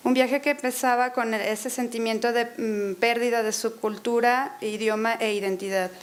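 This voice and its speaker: A woman interpreting a lecture consecutively in a university auditorium, hesitating often, filling pauses and correcting herself as she goes. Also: fairly high pitch, fairly even intonation. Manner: hesitant, many fillers and self-corrections.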